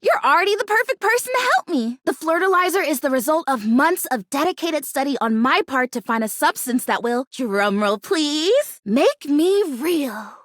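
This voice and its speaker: A woman's voice: high-pitched, animated, normal speed, few pauses, fairly bright tone, dry recording, studio quality. A woman voicing a bubbly, scatterbrained young plastic houseplant in a video game, chatty and gushing. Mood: eager, scatterbrained. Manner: gushing, chatty, easily distracted, exclamatory. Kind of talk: animated video game character acting.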